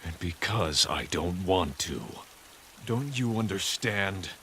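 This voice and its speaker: An actor playing a grim, weary vigilante hero in a comic dub, stern, earnest and measured. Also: male voice, low-pitched, animated, a bit bright, a little hiss or hum, heavily acted. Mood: grave, pleading.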